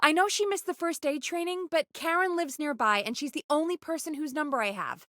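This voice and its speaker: A woman's voice: high-pitched, brisk, a bit bright, no room sound, clean studio sound. A woman playing an earnest, urgent radio producer in a video game, conversational and pressing in naturalistic dialogue. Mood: reassuring, hurried.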